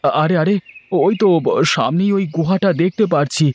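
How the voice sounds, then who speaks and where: A man's voice: fairly high pitch, normal speed, slightly rough. A man voicing a poor, stubborn, henpecked husband in a cartoon fairy tale, with a plaintive, self-pitying manner. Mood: excited, hopeful. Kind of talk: animated fairy-tale voice acting. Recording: music behind the voice.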